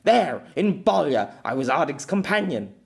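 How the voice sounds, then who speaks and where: A man performs a distraught middle-aged exile for an audiobook fantasy. He is bitter and hot-tempered, with a very animated pitch that swings widely. He stammers and swears his way through dramatic, desperate outbursts. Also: normal speed, vocal fry. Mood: angry, anguished.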